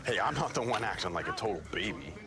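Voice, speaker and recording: An actor voicing a pompous, petulant talking bird in a comic commercial, fussy and indignant. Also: male voice, fairly low pitch, lively intonation, fast talker, lightly acted.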